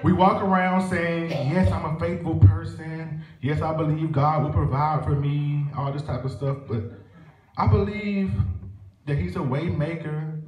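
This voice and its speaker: Young preacher, echoey church. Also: male voice, fairly high pitch, steady tone, a little slow, a little mellow, a little hiss or hum. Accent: Southern US. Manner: rhythmic, building call-and-response preaching. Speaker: minister preaching a sermonette. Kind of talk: sermon with prayer. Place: church sanctuary. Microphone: stand mic at pulpit, filmed by far camera. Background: organ music.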